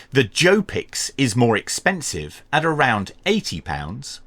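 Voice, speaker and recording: A man presenting a scripted product review in a measured, dryly humorous presenter's delivery. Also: medium pitch, a bit bright. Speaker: radio review channel host.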